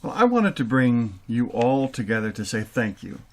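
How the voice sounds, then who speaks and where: A man's voice: medium pitch, sing-song, moderate pace. A man playing an older, orderly radio show boss in an audio comedy skit. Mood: grateful. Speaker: show host.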